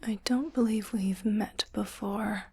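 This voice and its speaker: Slow, whispering female spaceship doctor played by a woman. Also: medium pitch, fairly even intonation, warm tone, heavily acted. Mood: calm, clinical. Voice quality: breathy, mostly unvoiced whisper. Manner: slow, measured, clinical and soothing. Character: calm, reassuring female ship's doctor in science fiction. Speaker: ASMR roleplay performer. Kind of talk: whispered ASMR medical roleplay.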